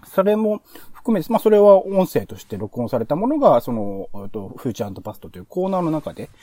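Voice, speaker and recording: A man's voice: a little high, big pitch swings, quick pace, dark tone. A man co-hosting a casual radio-review podcast, explaining at length in long, linked, rambling sentences. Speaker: podcast co-host. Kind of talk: casual discussion of radio shows.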